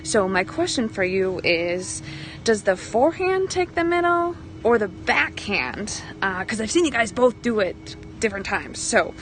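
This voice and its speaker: A woman in a recorded clip asks a cheerful, teasing question. Music plays clearly behind her. Her voice is mid-pitched. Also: big pitch swings, measured pace, a bit bright. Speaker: fan and mixed doubles partner.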